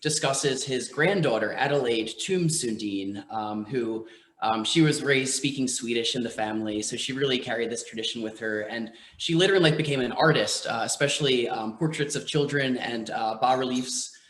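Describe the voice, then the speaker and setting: A man's voice: medium pitch, moderate pace, a bit bright. A man speaking as a museum curator on a panel, hesitant with many fillers, recorded in a museum room. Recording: echoey room.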